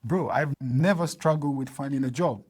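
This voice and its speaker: A man's voice: mid-range voice, moderate pace, slightly rough. Ghanaian man telling stories casually. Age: 30s. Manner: animated storyteller, acts out dialogue with laughs. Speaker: podcast guest. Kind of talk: casual storytelling interview.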